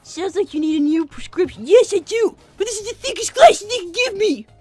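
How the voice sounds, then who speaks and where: A woman's voice: very high voice, lively intonation, a little fast, a little mellow, faint music behind. A woman voicing a bratty, blunt five-year-old cartoon boy who is demanding and melodramatic, in an improvised comedy livestream. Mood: matter-of-fact. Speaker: livestream co-host in character.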